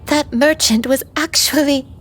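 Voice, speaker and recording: An actress voicing a gentle, remorseful woman telling her story in a soft, formal, reflective way for a video game. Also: sits high, fairly even intonation, measured pace. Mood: dawning disbelief. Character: gentle, sorrowful woman, secretly a crane, remorseful former disciple. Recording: background music under the voice.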